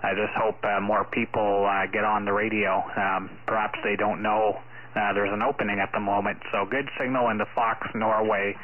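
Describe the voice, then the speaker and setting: A male amateur radio operator speaks in a low, fairly flat voice, pausing often between short phrases. He chats casually over a contact, and the audio is narrow and phone-like. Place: at a ham radio station.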